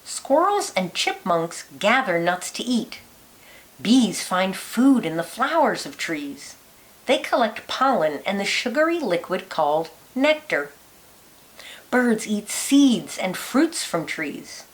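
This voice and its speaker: A woman reads a children's book aloud in a teacherly way. Her pace is unhurried and her pitch moves in lively rises and falls. She places each phrase deliberately, lilting on key words.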